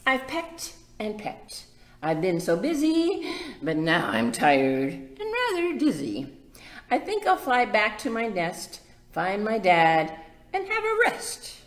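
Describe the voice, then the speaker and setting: A woman's voice: mid-range voice, wildly swooping pitch, slow pace. A woman voicing an eager, playful young woodpecker in a bouncy, singsong picture-book read-aloud. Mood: happy, tired. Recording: echoey room with background noise.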